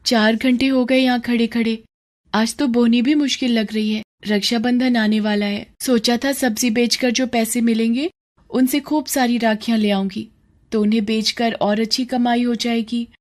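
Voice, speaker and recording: A woman's voice: on the high side, fairly even intonation, moderate pace, a little mellow, natural voice. A woman voicing an earnest, poor little vegetable-seller girl in a story, childlike, polite and pleading. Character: earnest young poor girl selling vegetables, cheerful but tearful.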